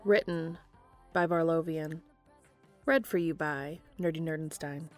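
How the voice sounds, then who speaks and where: A woman's voice: low voice, a little slow, soft background music. A woman hosting and reading fan fiction aloud as scripted narration. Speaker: podcast host and reader.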